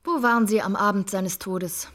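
An actress playing a brisk, confident female investigator with a direct, assured manner in a radio drama. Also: medium pitch, steady tone, a bit bright, natural voice. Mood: probing. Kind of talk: German radio drama acting. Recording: clear ambient noise behind voice.

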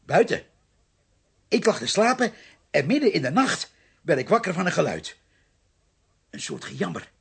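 An actor playing a confused, frightened drifter in a radio play, halting and stammering over repeated words in a husky, creaky voice. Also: male voice, high voice, sing-song, heavily acted. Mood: frightened, haunted. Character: confused, frightened male drifter confessing to a killing. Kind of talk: Dutch radio play acting.

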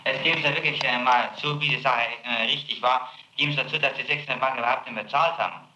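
A man's voice: medium pitch, fast, pushed and bright, echoey room, lo-fi sound, slight background noise. A man working as a prosecutor, questioning a witness with probing, insistent questions on an archival tape. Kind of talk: archival interrogation recording.

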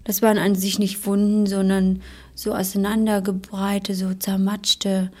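A woman sharing personal recollections in an unscripted, halting and plain-spoken way. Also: medium pitch, monotone, mellow.

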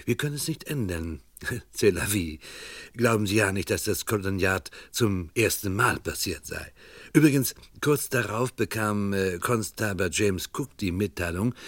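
An actor playing the wry storyteller of a 1970s radio crime comedy, narrating with measured irony straight to the listener. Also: male voice, lowish voice, lively intonation, no room sound, studio quality. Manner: measured, ironic. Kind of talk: scripted radio play narration.

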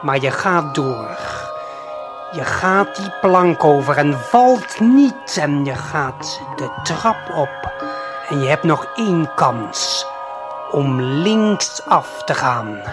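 A man narrating an audio play in the second person, deliberate and suspenseful, speaking straight to the listener. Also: fairly high pitch, animated. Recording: late 1970s vinyl LP production. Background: prominent music.

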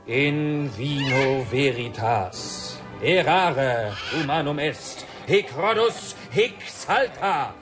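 An actor playing a solemn wizard who pompously declaims mock Latin incantations in a radio play. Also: male voice, mid-range voice, animated, very slow, few pauses, music in the background. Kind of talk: theatrical radio drama incantation.

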